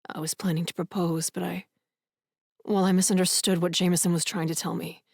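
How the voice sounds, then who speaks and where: A woman voicing a gentle young man who speaks softly and sometimes whispers. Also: on the low side, little pitch movement, a little fast, gravelly, breathy edge, no room sound, studio quality. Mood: hushed. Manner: soft, hushed, sometimes whispering. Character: young man, gentle and low-voiced lover.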